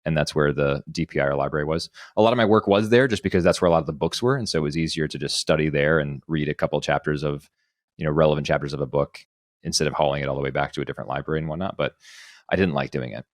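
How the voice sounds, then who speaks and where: A man's voice: very deep voice, rapid-fire, no room sound, clean studio sound. A man hosting a casual podcast, telling personal anecdotes in a discursive way, thinking aloud as he goes. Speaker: podcast host.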